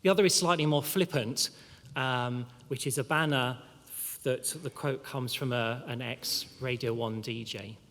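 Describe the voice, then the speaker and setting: A man addressing graduates at a ceremony, speaking in a measured way with pauses and the odd filler. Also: mid-range voice, a bit bright, slight background noise. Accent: non-local, not Mancunian. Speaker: university official giving a graduation address. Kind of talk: formal ceremony speech. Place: large hall. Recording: long reverb of a large hall.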